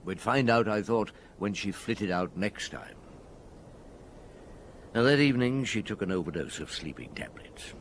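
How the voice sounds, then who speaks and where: An actor playing a courteous, dogged police chief inspector, measured and dry, in a radio detective drama. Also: male voice, on the low side, animated, slight background noise. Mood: reflective.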